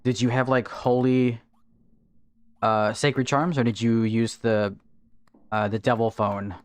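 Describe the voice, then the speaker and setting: A man streaming a game live from home, chatting casually, answering viewers and thinking out loud about his strategy. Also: mid-range voice, little pitch movement, slow pace, clean tone. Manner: chatty, answers viewer chat while playing, thinks aloud. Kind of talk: casual livestream gameplay chat. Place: home, in Texas.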